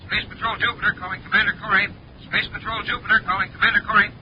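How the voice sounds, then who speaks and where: An actor playing a keen, crisp male space patrol officer in a 1950s radio serial. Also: high voice, flat delivery, very fast, lightly acted. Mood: brisk, official. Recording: muffled, noisy 1950s radio recording.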